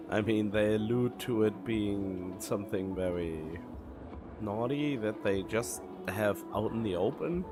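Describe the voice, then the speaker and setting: A man's voice: fairly low pitch, slow pace. A man doing casual live commentary as a game streamer, blunt and musing aloud. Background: game music.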